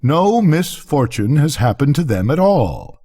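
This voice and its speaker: A man's voice: fairly high pitch, sing-song, measured pace, warm tone, voice pushed up, full character voice. An older man voicing a blunt, practical, indignant Roman candle firework in a storybook, curt and growling.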